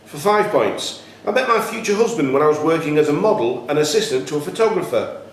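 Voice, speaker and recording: A man working as a quiz host, reading questions aloud in a deliberate, enunciated way. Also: fairly high pitch, animated. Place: echoey indoor room at a cricket club. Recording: very echoey room, faint background noise.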